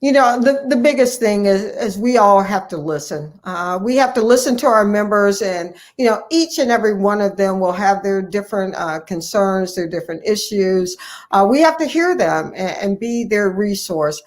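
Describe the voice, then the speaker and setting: A woman's voice: medium pitch, expressive, moderate pace. An American woman physician answering as an interview guest, earnest and emphatic, repeating key phrases for stress. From United States, Ohio. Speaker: guest, family physician and medical association president-elect.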